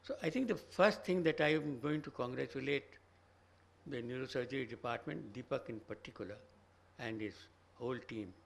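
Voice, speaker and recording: An older man with a slightly hoarse voice, giving a measured, careful address as a senior guest at a conference. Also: mid-range voice. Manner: slow, measured, choosing words carefully. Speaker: chief guest, professor emeritus of neurosurgery. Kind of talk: formal address. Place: conference hall.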